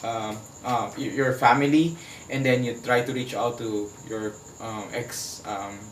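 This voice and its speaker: A man with a Filipino accent speaking as an interview guest, earnestly and haltingly, searching for his words as he gives personal testimony. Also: medium pitch, steady tone. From Philippines. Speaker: HIV advocate, interview guest. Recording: echoey room, distant mic, faint background noise.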